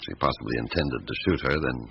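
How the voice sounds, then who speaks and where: Very deep, gravelly police inspector. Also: male voice, expressive, heavily acted. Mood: grave, firm. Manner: measured, authoritative questioning. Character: stern, probing French police chief inspector, methodical man. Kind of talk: radio drama acting. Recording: muffled old radio recording, background hiss.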